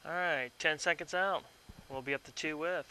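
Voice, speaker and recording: A man's voice: fairly high pitch, moderate pace, pushed and bright, a little hiss or hum. A man working as a newscast director, calling cues and countdowns in short, clipped commands.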